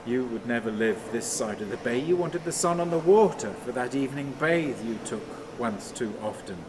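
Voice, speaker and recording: An actor playing a haunted, restless man in a radio play monologue, halting and repetitive, moving between muttering and command. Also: mid-range voice, natural voice. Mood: rambling, bitter reminiscence. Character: haunted, rambling man talking to his dead father, brooding and restless. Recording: clearly noisy, steady ambient sound.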